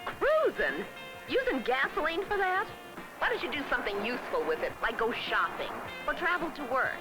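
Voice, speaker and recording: A woman's voice: sits high, sing-song, normal speed, poor audio. An actress playing a prim, scolding, disapproving woman in a 1970s educational film. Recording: 1970s film soundtrack with loud music.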